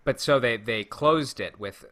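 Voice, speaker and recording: A man's voice: mid-range voice, unhurried, over a noisy crowd. A man co-hosting a podcast, telling stories casually with an enthusiastic, jokey and emphatic manner. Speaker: podcast co-host. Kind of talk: casual storytelling and banter.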